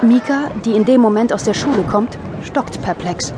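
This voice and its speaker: A woman's voice: fairly high pitch, lively intonation, moderate pace, very noisy. A woman narrating an audio drama in a scripted storytelling style.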